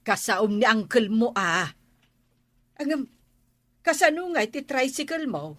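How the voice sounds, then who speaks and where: Doubtful Ilocano aunt, close and dry. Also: female voice, a little high, sing-song, measured pace, no room sound. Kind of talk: radio drama acting.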